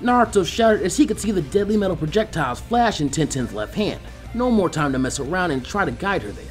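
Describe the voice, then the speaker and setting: A man's voice: high voice, very animated, fairly quick, faint music behind. A man reading fan fiction aloud as scripted story narration.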